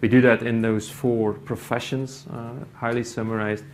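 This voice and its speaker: A man's voice: medium pitch, flat delivery, darkish tone. A middle-aged Belgian man, a native Dutch speaker, presents at a conference in English with a Dutch accent. His voice sits mid-range, and he stops often for fillers and fresh starts. Faint room noise hangs behind him on the stage mic. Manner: halting, many fillers and restarts. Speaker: conference speaker, company IT executive.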